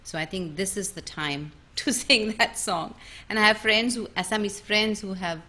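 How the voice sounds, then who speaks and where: A middle-aged woman singer from India talking on a video call, thoughtful and pausing with many ums. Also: medium pitch, bright, forceful tone.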